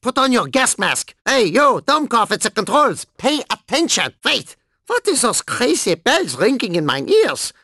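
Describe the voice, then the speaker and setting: A man voicing a deranged, boastful, whiny German cyborg theme park boss in a video game, with a German accent and a theatrical, cartoonish, high-pitched delivery. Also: unhurried, dry recording, studio quality, exaggerated voice. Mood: indignant. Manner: theatrical, excitable, gleefully silly.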